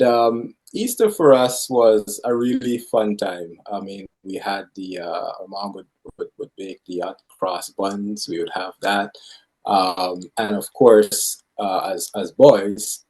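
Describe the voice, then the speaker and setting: Man, from Guyana, low-ish voice, easygoing, storytelling. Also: slow pace, darkish tone, poor audio. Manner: easygoing, chuckling storyteller with fillers. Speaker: guest. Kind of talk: casual chat, storytelling.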